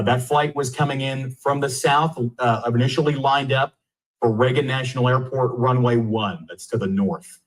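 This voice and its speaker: A man's voice: medium pitch, poor audio. A man reporting breaking news live as a television reporter, explaining with emphasis and some hesitation.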